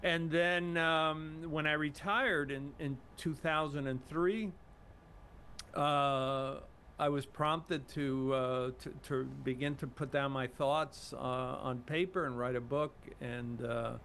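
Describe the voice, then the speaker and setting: A man's voice: on the high side, fairly even intonation, takes it slowly, fairly bright tone, slight background noise. An older man from Cuba, a guest in an interview, speaking modestly with many fillers and restarts. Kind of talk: casual interview talk.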